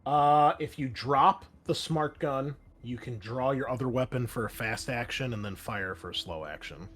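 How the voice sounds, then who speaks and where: Male game master, mid-pitched, conversational with dramatic descriptive narration. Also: normal speed, fairly bright tone, a little hiss or hum. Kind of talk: narrating and refereeing a tabletop roleplaying game.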